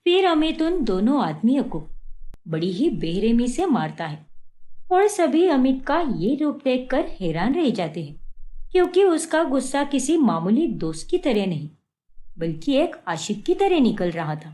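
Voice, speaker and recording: A woman's voice: sits high, sing-song, measured pace, dark tone. A woman narrating a dramatic scripted story as a storyteller.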